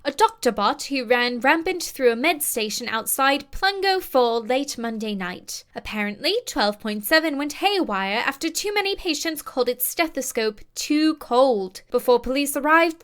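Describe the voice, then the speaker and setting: A woman's voice: sits high, fairly bright tone, exaggerated voice. A woman playing a tongue-in-cheek space news reporter, reading a scripted bulletin like an announcer. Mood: deadpan wry.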